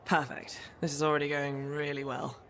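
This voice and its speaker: An actress playing a sardonic, cheeky freelancer troublemaker in a video game, casual in manner. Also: female voice, sits low, flat delivery, normal speed, a bit bright, slight background noise. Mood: sardonic, nervous. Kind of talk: game dialogue acting.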